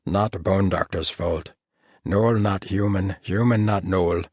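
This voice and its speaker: A man's voice: low-pitched, steady tone, takes it slowly, a little mellow, husky, no echo, full character voice. A man voicing a blunt male gnoll warrior who speaks in clipped broken phrases and refers to himself in the third person. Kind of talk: audiobook character voice.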